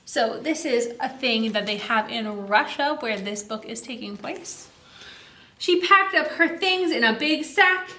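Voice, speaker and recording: A woman's voice: high voice, echoey room. A woman hosting a children's story time, reading a picture book expressively and putting questions to her young listeners. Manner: expressive, asks the listening children questions, exaggerated slow delivery.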